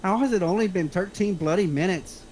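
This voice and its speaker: A man's voice: high-pitched, fairly quick, a little mellow, slight background noise, natural voice. A man playing a fidgety, impatient Irishman in his mid-twenties with an Irish accent in a script reading. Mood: impatient, irritated. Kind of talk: amateur screenplay table read.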